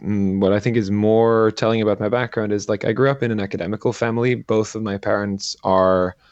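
A man's voice: on the low side, steady tone, brisk, darkish tone, no echo, highs cut off. A man, an entrepreneur answering interview questions thoughtfully, hesitating with many fillers. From Sweden. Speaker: entrepreneur and angel investor. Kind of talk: interview guest.

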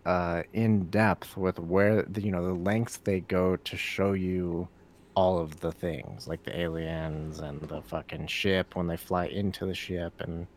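Very deep-voiced man rambling casually. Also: measured pace, a little hiss or hum. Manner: relaxed, rambling, swears casually. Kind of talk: casual chat.

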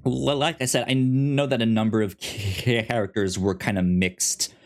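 A man's voice: mid-range voice, fairly even intonation, a little slow. A man co-hosting a podcast, casually discussing a TV series and often stammering over repeated syllables. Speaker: podcast co-host.

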